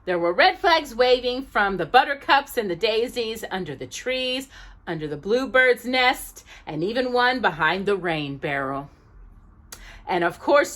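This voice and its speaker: A woman narrating a children's storybook expressively, with deliberate, drawn-out emphasis aimed at small children. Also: mid-range voice, fairly bright tone.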